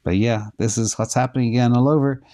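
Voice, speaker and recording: A middle-aged man with a dark, mellow voice hosts a casual livestream. He is hoarse from losing his voice. He talks loosely and thinks aloud with plenty of ums while checking numbers live. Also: mid-range voice, fairly even intonation, quick pace. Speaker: host answering viewer questions.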